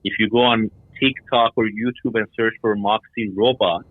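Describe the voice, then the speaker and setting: A man's voice: lowish voice, fairly even intonation, moderate pace, dry recording. A middle-aged man from Iran and Denmark giving explanatory answers as an interview guest. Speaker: guest, startup founder. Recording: tinny phone-like line.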